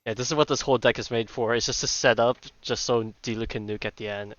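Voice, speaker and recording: Low-voiced man rambling through a game stream. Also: steady tone, fast, a bit bright, dry recording, dull audio. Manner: rambling, thinking aloud. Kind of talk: casual live gameplay commentary. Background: game music.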